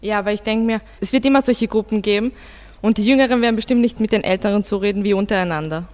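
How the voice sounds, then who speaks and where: A woman's voice: mid-range voice, fast, darkish tone, long reverb tail. A teenage girl, casually debating as a student guest on school radio.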